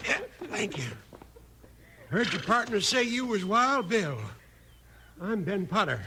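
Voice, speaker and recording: An actor playing a wounded, grateful frontier husband with an American Western accent in a 1950s radio drama. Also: male voice, sits high, expressive, slow, full character voice. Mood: grateful, strained. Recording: slightly noisy old radio recording.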